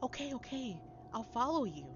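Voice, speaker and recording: Woman acting an urgent, higher-pitched young heroine. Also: very animated, normal speed, muffled recording, noisy background. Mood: reluctant, exasperated. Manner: urgent, exclaiming. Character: frightened, urgent young heroine of a horror story.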